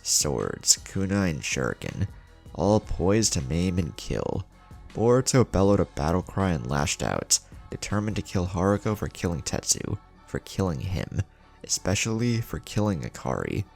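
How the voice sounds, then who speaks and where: A man's voice: sits low, creaky. A man hosting a story channel, reading scripted fan fiction in a dramatic narrator's delivery.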